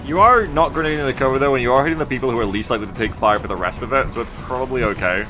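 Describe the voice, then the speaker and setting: A man gives casual live commentary on a strategy game, rambling through his options in a wry and sweary way. His voice sits at a middle pitch, with music and game sound behind it. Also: rapid-fire. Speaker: video game live streamer. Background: tactical video game audio and music.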